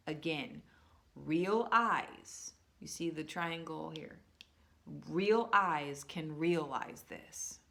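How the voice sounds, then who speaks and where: A woman talking to her viewers in a casual, rambling monologue, slowing down to stress words and restarting her sentences often. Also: deep voice, a bit bright. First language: English. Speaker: vlogger.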